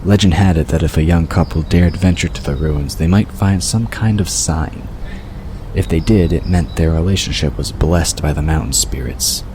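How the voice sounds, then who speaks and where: Very deep-voiced male audiobook narrator. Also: normal speed. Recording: clear noise behind the voice. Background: ambient sound effects.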